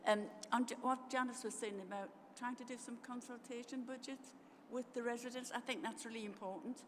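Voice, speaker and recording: Woman council member, loud and direct, higher voice, echoey hall with room noise. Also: steady tone, brisk.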